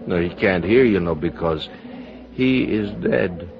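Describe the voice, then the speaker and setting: Boozy, embittered producer, middle-aged, theatrical and rambling, low register, for a dull-sounding vintage radio play. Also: male voice, takes it slowly, creaky, faint music behind, full character voice. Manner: rambling, mock-grand, chuckling. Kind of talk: old-time American radio drama acting. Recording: muffled old radio broadcast recording.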